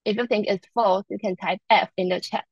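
A woman's voice: medium pitch, steady tone, brisk, husky, no room sound. A young woman from Taiwan with a Taiwanese Mandarin accent, patiently teaching a live online Chinese lesson. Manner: patient, explains step by step. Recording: dull lo-fi online stream audio.

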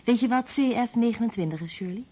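A woman's voice: a little high, fast, dark tone, husky. An actress playing a sensible, kindly married woman in a Dutch radio drama. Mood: explanatory.

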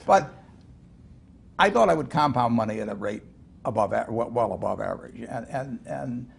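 An older man talking as an interview guest, halting with many restarts and chuckles, in a studio set like a living room. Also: mid-range voice, animated, vocal fry, husky. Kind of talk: casual interview talk.

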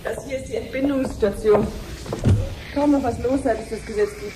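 An actress playing an obliging clinic researcher in a 1970s radio play, explaining things patiently and conversationally. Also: female voice, on the high side, moderate pace, background noise, close to an everyday voice. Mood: friendly, chatty. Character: chatty, obliging female clinic researcher showing her sister around.

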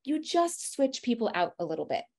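A woman in her thirties, a family photographer, giving a lecture on posing in a lively, direct and encouraging way. She talks a lot and has a loud voice. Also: a little high, brisk. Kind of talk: presentation lecture.

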